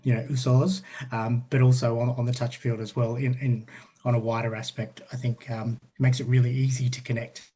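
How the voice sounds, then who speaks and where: A man hosting a podcast, talking in a relaxed, easygoing way. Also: mid-range voice, a little fast, husky. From Australia. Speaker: podcast host. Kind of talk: casual chat.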